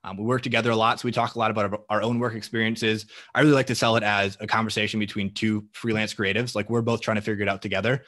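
Young male guest, quick and bright, low-pitched, sure of himself, rarely hesitates. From Minnesota. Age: young adult. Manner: confident, fluent, energetic talker. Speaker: guest, marketing entrepreneur. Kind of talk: podcast interview answers.

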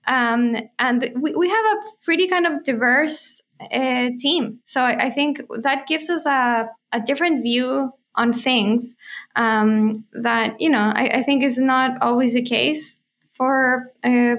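Woman from Chile, native Spanish speaker, fairly high voice, casual podcast host, hesitant phrasing. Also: takes it slowly, a bit bright. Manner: hesitant, thinking aloud in short fragments. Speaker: podcast host, crypto journalist. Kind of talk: casual chat.

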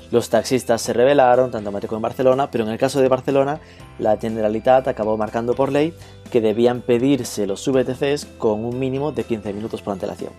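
Man, podcast host, dark mellow voice, faint music behind. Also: mid-range voice. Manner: brisk, lively delivery with playful asides. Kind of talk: podcast interview.

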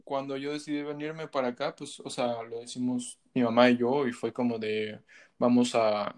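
A young Mexican man talks in a loose, rambling way, full of slang and fillers. His pitch sits in the middle range, and he sounds like a guest musician chatting with an old friend. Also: little pitch movement, moderate pace, darkish tone. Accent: Mexican Spanish. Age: young adult. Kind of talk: casual chat.

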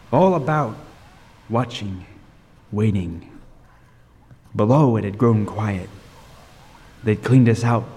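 A man's voice: fairly low pitch, mellow, slight background noise. Man acting haunted lighthouse keeper, echoing hall. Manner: deliberate, dramatic, with suspenseful pauses and repetition. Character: haunted lighthouse keeper recounting an ordeal, grimly tense. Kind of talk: radio drama first-person narration. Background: music.

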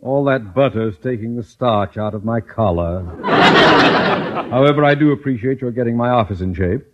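A man's voice: mid-range voice, normal speed, heavy background noise, lightly acted. A man playing a pompous, scheming middle-aged school principal with formal, theatrical diction in an old-time radio comedy. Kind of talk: 1940s-50s radio comedy acting. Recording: dull old radio recording.

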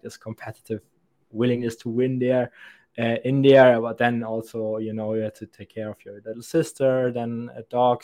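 A young man talking as a podcast guest at an ordinary middle pitch. He wanders through his answers thoughtfully, doubling back on words and restarting sentences. Now and then a soft laugh slips in. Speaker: podcast guest, sales account executive. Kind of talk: conversational interview answers.

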